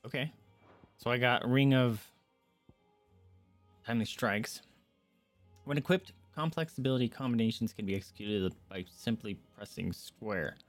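A man's voice: medium pitch, moderate pace, pauses often, no room sound. A man giving casual gameplay commentary as a streamer, muttering to himself as he reads on-screen text aloud. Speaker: streamer playing a video game. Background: video game music.

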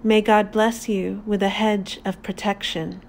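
A woman narrating a guided meditation in a calm, deliberate, soothing manner with long pauses. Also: medium pitch, slight background noise.

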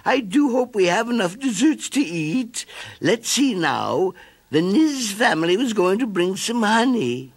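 A man putting on a high, fussing mother snail. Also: sing-song, measured pace, full character voice. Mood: fretful. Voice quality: high fluttery voice. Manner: fretful, fussing. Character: fussy female snail mother.

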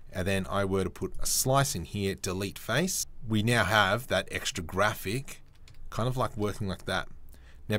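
A young man hosting a casual software tutorial, chatty and self-mocking as he thinks aloud while he works at a desk mic. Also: fairly low pitch. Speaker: 3D modeling tutorial host.

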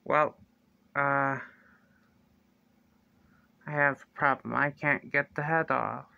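A young man talks through a toy review in a small bedroom, right up close to his phone or webcam. His voice is smooth yet gravelly and held to a flat, even pitch. He stops and restarts often, filling the gaps with ums and uhs. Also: no echo, dull audio. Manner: slow, halting, with many fillers and restarts. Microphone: phone or webcam close to the face.

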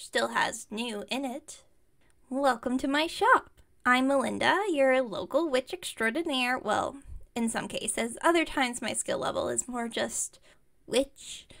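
Woman acting as high-voiced, bubbly chatty witch. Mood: welcoming, cheerful. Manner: bubbly, rambling, friendly. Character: chatty, enthusiastic, slightly incompetent young witch shopkeeper. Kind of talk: ASMR roleplay audio, one-sided chat with listener.

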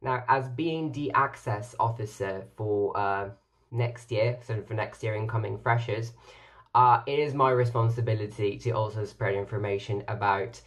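A man's voice: lowish voice, normal speed. A young man from Ireland, a native Irish speaker, giving casual advice to camera as a student in his bedroom. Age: young adult. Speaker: student society officer. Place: bedroom with bookshelves, indoors.

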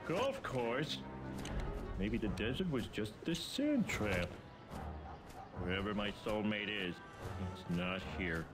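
A man voicing a dim, whiny, impulsive middle-aged cartoon husband with a dopey, thick voice, muttering to himself and exclaiming over loud music. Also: fairly low pitch, animated, slow pace, long pauses. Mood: weary, hopeful. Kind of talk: animated cartoon acting.

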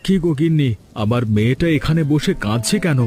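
A village father in a cartoon fairy tale. His voice is slightly high and mellow, earnest in delivery, with faint music underneath. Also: animated, brisk, husky. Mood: concerned, comforting. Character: reassuring, hard-working village father.

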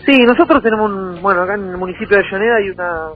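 A man's voice: high-pitched, very fast, phone-quality sound, faint music behind. A man in his thirties with a Rioplatense Argentine accent, answering conversationally as a political candidate in a radio interview, often repeating words as he goes.

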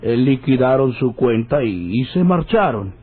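An actor playing a nervous, deferential hotel clerk, halting as he speaks in a radio serial. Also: medium pitch, very animated, breathy edge. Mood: uneasy, polite. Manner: hesitant, halting. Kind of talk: old radio serial acting. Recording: tinny old radio recording.

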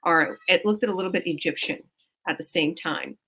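A woman's voice: fairly low pitch, moderate pace, a bit bright, breathy edge. A woman, a coach hosting a team video call in a small room at home, talking in a rambling, effusive way with many restarts. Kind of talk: casual motivational chat. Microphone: video call webcam.

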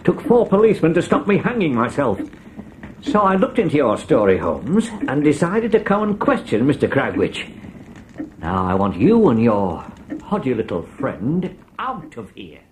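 An actor playing a grave, gruff man in a dramatised audio storybook on a 1980s cassette. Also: medium pitch, wildly swooping pitch, moderate pace. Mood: gruff, weary. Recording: faintly noisy 1980s cassette recording.

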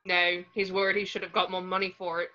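A woman's voice: on the low side, monotone, fast talker, natural voice. A woman voicing a blunt, casual young male wizard who speaks colloquially. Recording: muffled video-call audio.

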